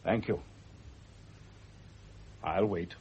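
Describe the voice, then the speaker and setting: A deep-voiced, commanding radio-drama doctor. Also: male voice, breathy edge, exaggerated voice. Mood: urgent, formal. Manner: measured, authoritative, gently commanding. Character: calm, probing male doctor questioning a patient. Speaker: actor. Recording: muffled, noisy old radio recording.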